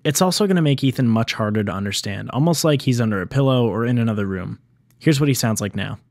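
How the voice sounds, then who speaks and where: A man's voice: mid-range voice, animated, quick pace, a little mellow, dry recording. Man narrating, very dry close sound. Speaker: video essay host on game sound design. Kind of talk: scripted explainer narration.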